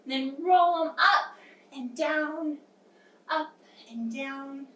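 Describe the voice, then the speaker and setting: A young woman with a high voice leads a yoga storytime for little children. She speaks slowly, mixing simple, encouraging directions with read-aloud story lines. She is recorded from across a small, echoey room. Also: fairly bright tone. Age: young adult. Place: small indoor room with wooden floor. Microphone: camera across the room. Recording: roomy echo, distant microphone.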